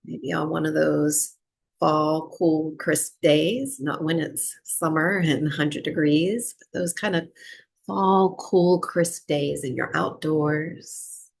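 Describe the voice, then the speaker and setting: A woman teaching breathwork, guiding a meditation in short, unhurried phrases with long pauses between them. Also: low-pitched, steady tone, creaky. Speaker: breathwork and yoga nidra teacher. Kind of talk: guided meditation, slow soothing instruction.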